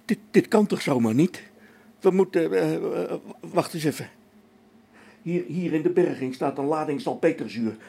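A man's voice: on the high side, expressive, moderate pace, gravelly, mellow, breathy edge, heavily acted. An amateur actor in a radio play as an anxious space station technician, a man who stammers and hesitates, agitated. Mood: panicky.

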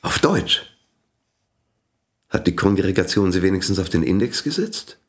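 An actor playing a stern, pompous priest who invented a language and leads its club, in a radio drama. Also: male voice, deep voice, very animated, moderate pace, roomy sound. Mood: indignant. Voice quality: pompous, scornful. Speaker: club leader.

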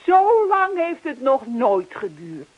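An actress playing a middle-aged wife in a naturalistic radio play, teasing and reproachful yet affectionate. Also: female voice, sits high, very animated, slow pace, exaggerated voice. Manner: chiding, teasing, coaxing. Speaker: actor. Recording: dull, muffled radio play recording.

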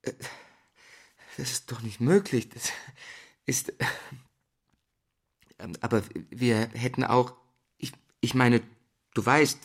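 An actor playing an overwhelmed man in a drama, stammering and stunned, with a husky, creaky voice. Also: medium pitch. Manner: halting, stunned. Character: overwhelmed, stammering man hearing big news from partner.

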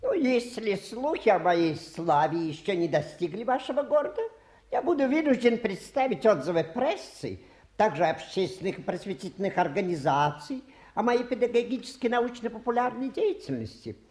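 A touchy old-fashioned showman fakir in a radio drama. His pitch swoops widely as he boasts in fussy, halting, grandiose phrases. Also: big pitch swings, darkish tone. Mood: pompous, wounded. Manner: pompous, halting, fussy theatrical patter. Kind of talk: radio play acting.

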